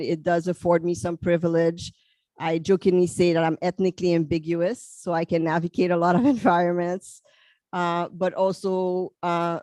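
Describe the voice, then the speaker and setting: A middle-aged woman from Haiti whose first languages are French and Haitian Creole, speaking on a panel in a measured way with frequent fillers. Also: fairly low pitch, a little mellow, no room sound. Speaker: psychologist panelist. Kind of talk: panel talk.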